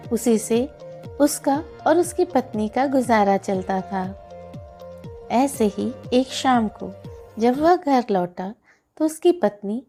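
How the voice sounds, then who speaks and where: A woman's voice: a little high, warm tone. A woman narrating a scripted moral story for children. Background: music.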